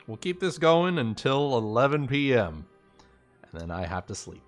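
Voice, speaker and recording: A man talks casually in a group livestream at an unhurried pace. His medium-pitched voice is animated and rises and falls freely, and he swears easily. Music plays faintly behind him. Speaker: game master.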